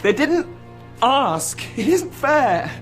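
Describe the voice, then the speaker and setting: A man's voice: very high-pitched, sing-song, slow pace, soft background music, heavily acted. A young-sounding male actor playing a timid, reluctant boy heir in a radio drama, hesitant and pleading. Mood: petulant, pleading.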